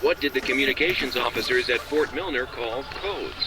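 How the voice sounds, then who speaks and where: An actor playing a taunting ghostly entity that talks like an old military radio announcer, clipped, commanding and mockingly playful. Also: male voice, mid-range voice, brisk, background noise, lightly acted. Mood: mocking, official. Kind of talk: 1940s radio announcer acting, quiz-master delivery.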